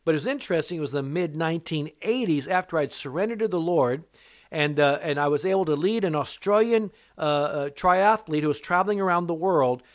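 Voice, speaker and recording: A man's voice: fairly quick, no echo, studio quality. An older man, born in Japan and raised in America, preaching an earnest sermon as an evangelist, his voice rising sharply when he stresses a point. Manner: earnest preaching that rises in pitch on emphasis. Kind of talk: sermon teaching with personal testimony.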